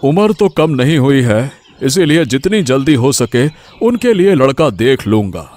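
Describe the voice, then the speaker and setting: A man's voice: medium pitch, sing-song, unhurried, a little mellow. A man playing a principled old village father in a story, speaking earnestly and emphatically. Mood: worried, hopeful. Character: worried, principled old village father, indignant when threatened. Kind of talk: Hindi audio story dramatic acting.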